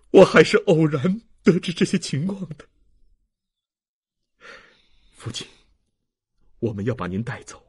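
Impassioned young gentleman for an audiobook, acted by a male narrator: slow, emphatic, swooping delivery. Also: mid-range voice, slightly rough, heavily acted. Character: passionate, grateful young gentleman, overwhelmed with emotion.

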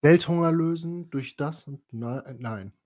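A man chatting casually on a podcast, excitable and crude, swearing and mixing in English slang. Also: on the high side, a little slow, no echo. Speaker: podcast host. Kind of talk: casual chat.

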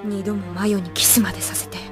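A woman playing a dutiful schoolgirl shrine maiden, polite and hushed, in an anime audio drama. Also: medium pitch, level tone, brisk, music in the background, lightly acted. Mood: subdued, serious.

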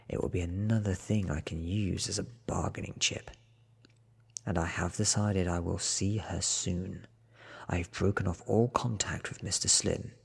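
A man in his thirties reading a book softly for ASMR, hushed and deliberate, sometimes dropping toward a whisper. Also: low voice. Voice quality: soft, breathy, husky. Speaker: audiobook reader.

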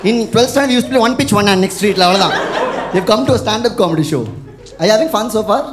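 A young comedian with a Tamil-flavoured Indian English accent works the crowd on a theatre stage, teasing people at breakneck speed. His pitch jumps around animatedly as he drops into Tamil asides. Loud audience laughter and room noise sit behind him. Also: animated. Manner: teasing, quick switches into Tamil. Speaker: stand-up comedian. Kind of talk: crowd-work stand-up. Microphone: handheld stage microphone.

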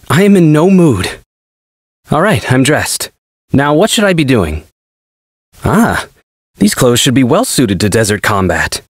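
A man voicing a courteous, reserved young warrior in a fantasy game, formal and measured. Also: medium pitch, no room sound, studio quality.

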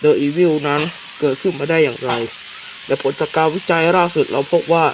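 A man playing a solemn government announcer, formally reading a measured emergency warning for an analog horror broadcast. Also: a little high, natural voice. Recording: clearly noisy hissing background.

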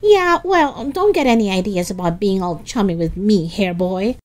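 A woman's voice: fairly high pitch, lively intonation, takes it slowly, darkish tone, voice pushed up. A woman voicing a bossy, dramatic young girl, brash and scornful, in a storybook reading.

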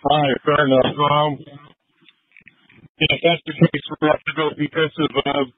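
A man's voice: on the high side, flat delivery, moderate pace, breathy edge, no room sound. A man working as a fire commander, speaking in terse emergency traffic over a two-way radio. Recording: narrowband fire radio transmission.

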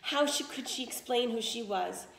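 A woman reading a children's story aloud expressively, pausing to put questions to listeners and explain words. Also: on the high side, creaky, a bit bright, echoey room, slight background noise, rough recording. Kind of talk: expressive read-aloud narration with asides to listeners.

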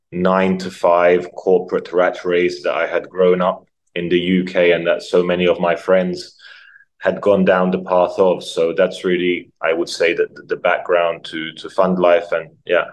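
Low, flat-voiced man reflecting. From Croatia, raised in London. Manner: reflective, many ums and repeated words. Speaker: guest, nonprofit founder. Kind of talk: casual interview talk.

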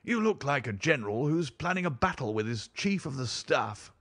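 A man's voice: mid-range voice, very animated, normal speed, fairly bright tone, slightly rough, no echo, studio quality, voice pushed up, full character voice. A man voicing a genial, courteous baronet in an audiobook, hearty, frank and good-humoured. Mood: cheerful. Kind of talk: audiobook character voice.

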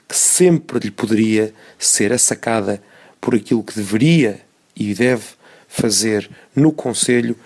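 Slow, gravelly Portuguese male commentator. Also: lowish voice, darkish tone. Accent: European Portuguese. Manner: formal, measured rhetorical delivery in short phrases. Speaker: opinion commentator. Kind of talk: scripted radio opinion commentary.

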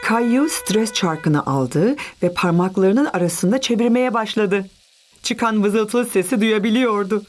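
A woman voicing a gentle, encouraging narrator and mother figure in a children's cartoon. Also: mid-range voice, slow pace, faint music behind, close to an everyday voice. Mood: gentle narrating.

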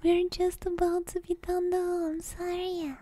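A woman with a high, soft, dark-toned voice streams ASMR close to the microphone. She mostly whispers, breathy and relaxed, rambling casually as she chats with her viewers. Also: a little slow, no room sound. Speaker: ASMR livestreamer. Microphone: close binaural ASMR microphone.

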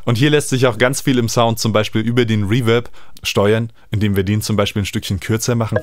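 A man, explaining music software informally as he demonstrates it in a tutorial. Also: lowish voice, fairly even intonation, fairly quick, dry recording, clean studio sound. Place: small indoor home studio. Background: electronic music he is working on.

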